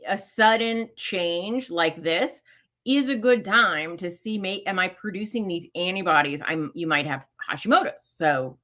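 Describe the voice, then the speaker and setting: A woman's voice: lowish voice, unhurried, a bit bright. A middle-aged woman hosting a live question-and-answer session, talking casually with many fillers and self-corrections. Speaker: host answering listener questions.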